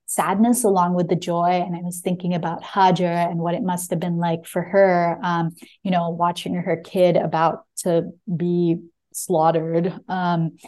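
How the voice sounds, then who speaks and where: A woman with a lowish, very soft-edged, mellow voice gives reflective answers as an interviewed author. She speaks thoughtfully and haltingly, often pausing to find the right word. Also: level tone. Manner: thoughtful, hesitant, searching for words. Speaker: debut memoir author, guest. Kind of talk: reflective interview answers, with a short reading at the start.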